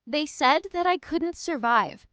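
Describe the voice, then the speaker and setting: A woman's voice: high voice, expressive, measured pace, pushed and bright, dry recording, heavily acted. A woman voicing a frugal, frank young female student in a manga dub, with a sweet, polite and matter-of-fact way of talking. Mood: earnest. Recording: music behind the voice. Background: background music.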